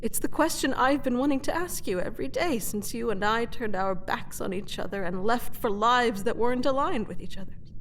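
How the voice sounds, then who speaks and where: A woman playing a proud, reckless captured warrior queen who is wounded and secretly in love, in a theatrical, halting fantasy audio roleplay. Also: on the high side, a bit bright, slight background noise. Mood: hurt, pleading. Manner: theatrical, halting, emotional delivery. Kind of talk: dramatic fantasy audio roleplay addressed to the listener.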